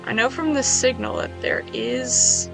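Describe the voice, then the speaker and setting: A woman talks her way through a game in a loose, chatty running commentary, tossing out wry little asides to herself. Her fairly high voice has a slightly hoarse, gravelly texture. Game music plays clearly beneath her. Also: measured pace. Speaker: gaming video creator. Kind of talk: casual gameplay commentary.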